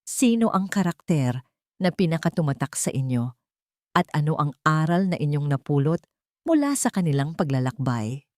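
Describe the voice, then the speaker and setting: Low-voiced woman narrating a story. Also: sing-song, moderate pace, darkish tone, no echo, clean studio sound. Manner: earnest, sentimental, formal address to listeners. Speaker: storyteller and channel host. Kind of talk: dramatic scripted story narration.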